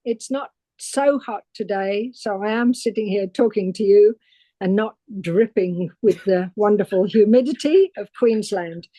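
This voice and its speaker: An older woman from England, recounting her career as a guest on a podcast interview in a measured storytelling way. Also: mid-range voice, mellow, no echo, poor audio. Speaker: guest paleontologist.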